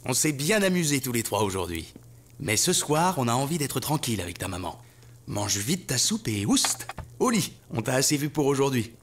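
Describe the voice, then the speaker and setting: A man's voice: mid-range voice, sing-song, normal speed, slight background noise, close to an everyday voice. A man voicing an affectionate but weary father with a coaxing manner in a children's cartoon. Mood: fed up, firm. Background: music.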